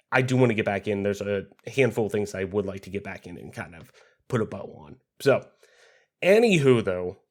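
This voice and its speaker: A man hosting a gaming podcast in casual chat, his voice slightly raspy from a cold, talking in a loose, rambling way with plenty of fillers and swearing. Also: medium pitch. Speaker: podcast host.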